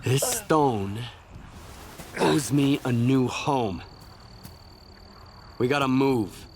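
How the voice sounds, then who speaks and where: An actor playing a plainspoken, wary drifter in a video game, terse and matter-of-fact. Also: male voice, medium pitch, extremely slow, slight background noise. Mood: determined. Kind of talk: video game cutscene acting.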